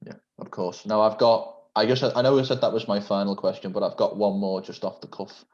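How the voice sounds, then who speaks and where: A man's voice: lowish voice, a little fast, darkish tone, lo-fi sound. A man hosting a video-call interview, asking questions in a polite, formal and complimentary way. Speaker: interview host.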